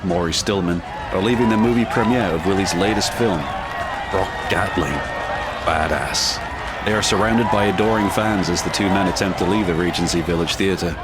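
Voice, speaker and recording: A man narrating a scripted audio drama in a measured, dramatic manner. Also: sits low, a loud crowd.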